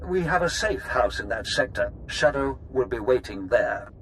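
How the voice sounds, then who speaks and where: An actor playing a cordial, scheming Sith lord in a video game, courtly and theatrical. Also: male voice, mid-range voice, lively intonation, normal speed, a bit bright, slight background noise, lightly acted, poor audio. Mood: grave briefing.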